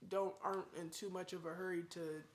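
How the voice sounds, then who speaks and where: A woman's voice: lowish voice, flat delivery, fairly quick, a little hiss or hum. Chatty young mother in an echoey room. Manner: chatty, rambling. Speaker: vlogger, new mother. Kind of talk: casual chat.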